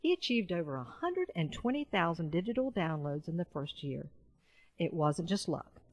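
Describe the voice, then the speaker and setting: A woman reading nonfiction audiobook narration in a measured, carefully enunciated way. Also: fairly low pitch, very animated, no echo. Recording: dull, muffled lo-fi voice-over.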